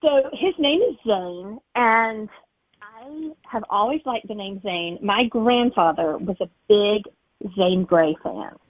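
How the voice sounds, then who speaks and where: A woman's voice: mid-range voice, expressive, slow pace, dry recording. A woman author chatting casually as an interview guest, telling stories about her writing. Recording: tinny narrow-band telephone-like audio.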